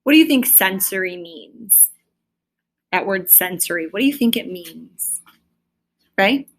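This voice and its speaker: A woman's voice: mid-range voice, animated, a little slow, a bit bright. A woman teacher reading a story aloud and explaining it to pupils, with an emphatic, questioning delivery.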